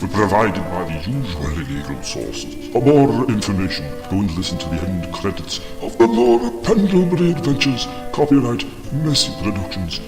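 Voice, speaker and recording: A man's voice: fairly low pitch, animated, moderate pace, few pauses, roomy sound, in a large hall, over music. A man as closing announcer, reading scripted credits with tongue in cheek.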